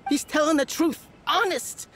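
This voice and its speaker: A woman's voice: high-pitched, unhurried. A woman playing a tough, curt smuggler in a video game, with a brusque, street-wise manner. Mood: pleading, defeated.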